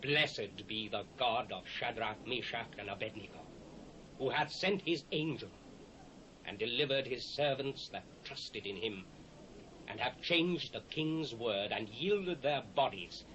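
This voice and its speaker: A man's voice: medium pitch, animated, a little slow, a bit bright, poor audio. A British actor playing a proud, commanding king of the ancient world in a dramatised scripture reading, declamatory and imperious. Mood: solemn, decreeing. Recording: faint hiss, old cassette transfer.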